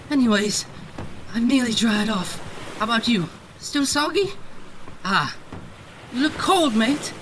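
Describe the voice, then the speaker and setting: A chirpy, upbeat young stowaway woman rambles in a folksy way. Her voice sits high, in an audio drama scene thick with engine and outdoor ambience. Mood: chatty. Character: chatty, upbeat young female stowaway riding outside a ship. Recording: loud engine and ambience noise.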